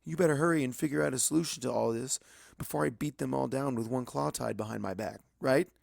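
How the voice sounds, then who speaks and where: A man's voice: medium pitch, quick pace, creaky, a little mellow, no room sound, clean studio sound, voice pushed up. A man voicing a brave young male dragon in a story reading, earnest and cocky to cover his fear. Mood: brave bravado. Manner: earnest, bravado over fear. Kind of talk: dramatic fan fiction reading.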